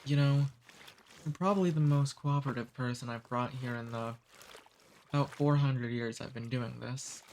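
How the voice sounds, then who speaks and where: A man voicing a friendly, centuries-old male demon in an ASMR roleplay. He talks calmly and teases gently. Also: medium pitch, moderate pace, a little hiss or hum, natural voice. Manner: calm, friendly, gently teasing.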